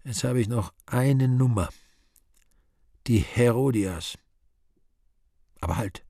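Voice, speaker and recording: An actor playing an urbane, sardonic Devil in a radio play, speaking with deliberate irony and mock courtesy. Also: male voice, medium pitch, creaky, mellow, dry recording, studio quality. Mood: coaxing. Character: urbane, sardonic Devil interrogating dead sinners. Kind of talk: theatrical radio play monologue.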